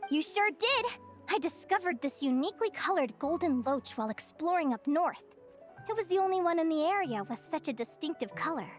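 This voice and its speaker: A woman playing an earnest, gentle young female naturalist in a video game, explaining things articulately and with curiosity. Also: sits high, animated, fairly quick, soft background music. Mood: earnest, pleased. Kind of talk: scripted video game character dialogue.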